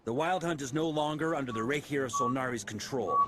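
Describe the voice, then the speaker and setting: A man's voice: medium pitch, quick pace, lightly acted. A man playing an easygoing, confident knight commander in a video game, with casual, direct delivery. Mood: serious. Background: game music.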